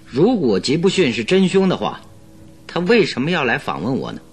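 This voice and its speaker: An actor playing a self-assured, blunt master detective in a radio drama, composed and terse. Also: male voice, on the high side, wildly swooping pitch, brisk, breathy edge, heavily acted. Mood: pensive. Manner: terse, composed, dryly dismissive.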